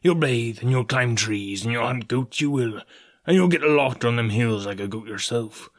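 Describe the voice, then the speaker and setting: A man's voice: mid-range voice, moderate pace, close to an everyday voice. Man voicing a sly, wheedling old sailor. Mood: genial, coaxing. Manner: folksy nautical dialect, ingratiating. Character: sly, wheedling one-legged old sailor, outwardly genial pirate.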